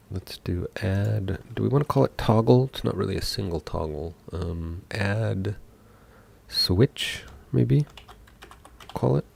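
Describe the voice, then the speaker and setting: A young man from Seattle, a game developer talking casually while coding live, thinking aloud with many pauses. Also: deep voice, vocal fry, darkish tone. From Seattle, USA.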